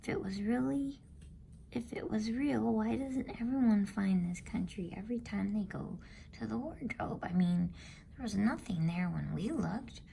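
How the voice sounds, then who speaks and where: A woman voicing a polite, earnest schoolboy in a storybook reading, questioning respectfully in a soft, breathy voice. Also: mid-range voice, a little slow, slight background noise, voice pushed up. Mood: puzzled, doubting. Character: polite, puzzled, earnest schoolboy, older brother.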